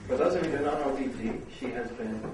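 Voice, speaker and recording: A middle-aged man hosts a university seminar, pausing often on ums and uhs. He is heard from a distance in a very echoey room. A steady hiss of noise sits underneath. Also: mid-range voice. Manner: hesitant, full of fillers. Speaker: seminar host, vice dean. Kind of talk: opening remarks at a university seminar. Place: lecture room.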